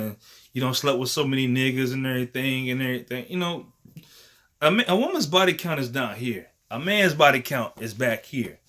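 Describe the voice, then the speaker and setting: A thirty-year-old man with a bright, forceful tone and an ordinary middle pitch. He rambles casually to the camera, swearing freely, using slang and leaning on "you know what I'm saying.". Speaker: vlogger.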